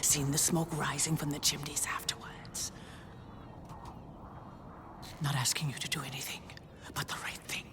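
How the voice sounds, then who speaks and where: A weary prisoner in a video game, acted by a woman. The voice is very deep for a woman and hushed almost to a whisper. The lines come out terse and bitter. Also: little pitch movement, fairly quick, natural voice. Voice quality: breathy, half-whispered. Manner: terse, clipped sentences. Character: weary, bitter prisoner urging a stranger to act. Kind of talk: video game cutscene acting.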